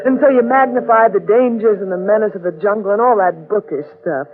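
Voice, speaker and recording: An actress playing a plucky, playful adventurer in a 1940s radio drama, breezy and coaxing. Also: female voice, mid-range voice, a little fast, close to an everyday voice. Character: plucky, playful, fearless young woman adventurer. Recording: tinny, band-limited 1940s radio recording.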